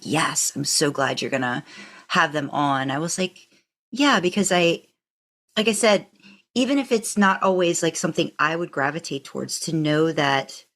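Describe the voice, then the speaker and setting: Low voiced woman, podcast host, just chatting casually. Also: fairly bright tone. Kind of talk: casual chat and podcast sign-off.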